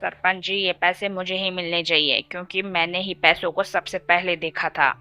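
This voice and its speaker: Woman voicing a bright, insistent greedy village man. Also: on the low side, steady tone, moderate pace, smooth, soft background music. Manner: protesting, insistent. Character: greedy, pleading village man arguing his claim.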